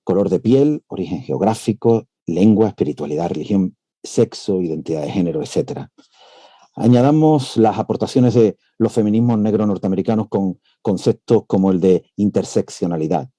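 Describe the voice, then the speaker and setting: Dark-voiced male professor lecturing. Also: fairly low pitch, animated, no room sound. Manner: measured, with frequent "eh" hesitations. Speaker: university professor giving a lecture. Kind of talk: academic lecture. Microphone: computer mic on a video call.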